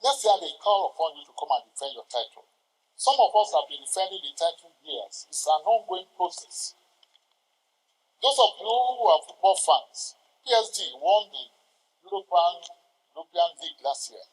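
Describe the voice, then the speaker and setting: An older man with a Nigerian accent gives a formal ceremonial speech, exhorting his listeners deliberately with emphatic pauses. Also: a little high, lively intonation. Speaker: senior lawyer addressing a ceremony. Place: large indoor courtroom. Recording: reverberant hall with long echo.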